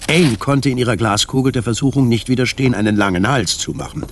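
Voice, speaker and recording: A man playing the wry, detached narrator of a radio drama, reading literary prose in a measured deadpan. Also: mid-range voice, a little hiss or hum, lightly acted. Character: wry, detached male storyteller of a satirical science-fiction tale.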